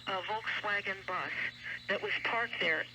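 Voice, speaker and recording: Heavy shortwave static surrounds a woman with a mid-pitched voice. She talks like a broadcast commentator, deliberate and insistent, stressing her points as she explains. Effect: shortwave radio. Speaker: radio show guest commentator. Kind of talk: broadcast talk, earnest explanatory monologue. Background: loud radio static and noise.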